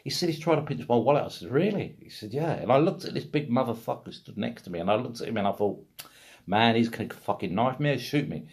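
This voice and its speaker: A man's voice: mid-range voice, animated, fast, creaky, breathy edge. A British man in middle age talks casually, like a video blogger recounting his own experiences. His delivery is rambling yet blunt and emphatic. He swears freely as he goes.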